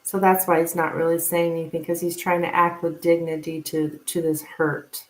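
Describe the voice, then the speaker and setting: Echoey, low-voiced woman reading tarot live. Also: little pitch movement. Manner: informal, halting, thinking aloud. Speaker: tarot reader, live stream host. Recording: roomy, echoing live stream sound.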